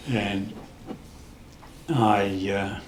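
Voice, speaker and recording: A man in his eighties with a Dutch accent, telling stories in a casual interview, halting with many restarts and pauses. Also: on the low side, level tone, reverberant, background noise. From the Netherlands. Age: 80s. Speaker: interview guest.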